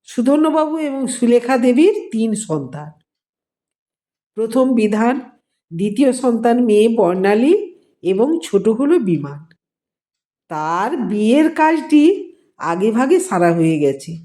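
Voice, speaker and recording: A woman narrates a story in a slightly high, soft-toned voice. She takes her time, setting out each phrase deliberately like a storyteller reading aloud. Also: sing-song. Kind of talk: scripted narration of a family drama.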